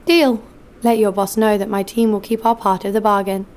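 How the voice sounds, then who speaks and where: A woman's voice: mid-range voice, flat delivery, moderate pace, darkish tone, slight background noise, lightly acted. An actress playing a cynical, hard-nosed female bounty hunter in an audio drama, with a blunt, sardonic delivery. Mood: hostile, blunt. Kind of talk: first-person audio drama narration and dialogue.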